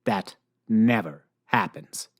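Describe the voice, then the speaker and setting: A man voice actor playing a literal-minded, lonely man waiting for a date, precise and deadpan, in a comedic radio play. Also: on the low side, takes it slowly, slight background noise.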